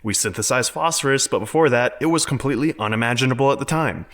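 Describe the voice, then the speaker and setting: A man's voice: mid-range voice, fast, a bit bright, lightly acted. An actor playing a confident, open-minded scientist in an audio drama, lecturing earnestly and arguing his case. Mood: insistent. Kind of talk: dramatic audio fiction acting.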